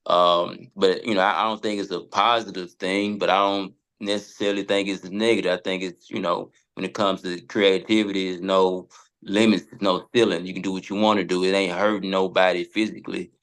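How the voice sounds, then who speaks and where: A middle-aged American man chatting as a podcast guest, blunt and slangy, with a halting delivery. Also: sits low, flat delivery, fairly bright tone, clear, resonant tone. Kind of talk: casual chat.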